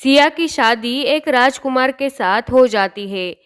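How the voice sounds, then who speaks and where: A woman narrates a fairy tale in a high, clear voice. She takes her time over the scripted storytelling, keeping an unhurried pace throughout. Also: a bit bright.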